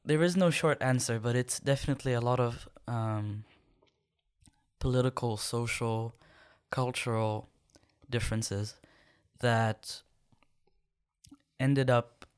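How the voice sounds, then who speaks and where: A young man from Sudan, a podcast guest, answering questions in a thoughtful, measured way with many pauses. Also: mid-range voice, clear, resonant tone. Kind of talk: conversational interview answers.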